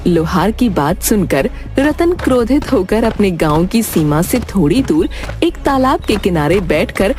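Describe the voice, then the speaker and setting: A woman's voice: medium pitch, big pitch swings, unhurried, darkish tone, soft background music. A woman narrating a cartoon moral story from a script.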